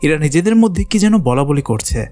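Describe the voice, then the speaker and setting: A man's voice: a little high, animated, fairly quick, dark tone. A man narrating a children's cartoon folk tale as a dramatic scripted storyteller.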